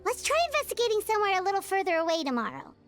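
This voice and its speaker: A woman voicing a small, excitable fairy girl companion in a video game, squeaky and childlike. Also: rapid-fire, faint music behind, exaggerated voice. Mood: perky. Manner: refers to herself in third person, exclamatory. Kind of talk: video game character acting.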